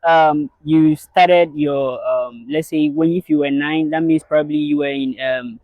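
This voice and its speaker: A young man hosting a podcast with a fairly high voice. He keeps the interview loose and friendly, dropping many yeahs and okays between questions. The sound is dry and close. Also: fairly even intonation, measured pace, dry recording, narrow, tinny audio. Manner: friendly, many fillers like yeah and okay. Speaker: podcast host. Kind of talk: casual interview.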